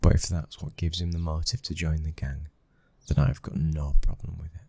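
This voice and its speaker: A man with a British accent acting as a brooding, protective young mafia boss's son in an intimate ASMR boyfriend roleplay, speaking in a husky, hushed, intense way that often nears a whisper. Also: very deep voice, level tone, moderate pace, no room sound, exaggerated voice. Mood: firm, protective.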